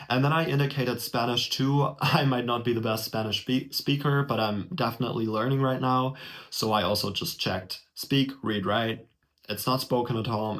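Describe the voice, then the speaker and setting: A man's voice: medium pitch, fairly bright tone. Young guy from germany explaining an application step by step, friendly and direct, talks straight to the viewer. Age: young adult. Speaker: vlogger explaining a college application. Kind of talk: casual tutorial walkthrough. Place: small indoor room.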